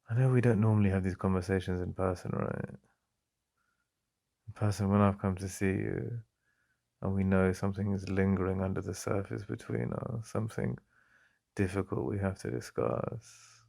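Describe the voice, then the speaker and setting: An English man speaking softly and intimately in a boyfriend ASMR roleplay, halting and heartfelt. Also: sits low, gravelly.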